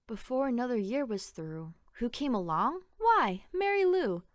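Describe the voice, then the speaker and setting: Slow, measured woman reading a children's picture book. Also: a little high, no room sound, clean studio sound. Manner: measured, expressive, sing-song phrasing. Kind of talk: read-aloud children's storytelling in rhyme.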